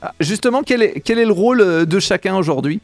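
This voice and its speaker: A male interviewer asks short, direct questions and answers with quick acknowledgements. His voice sits high. Faint music plays behind him. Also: animated.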